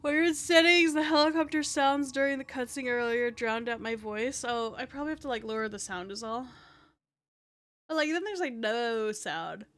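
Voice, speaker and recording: A woman VTuber chatting casually while she streams a game, with a soft, small voice. She rambles, says "like" constantly and swears offhandedly, sitting very close to her mic at home. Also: a little high, moderate pace. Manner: rambling, fills every phrase with "like", swears casually. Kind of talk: casual gameplay stream chat. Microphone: desk mic placed very close to the face.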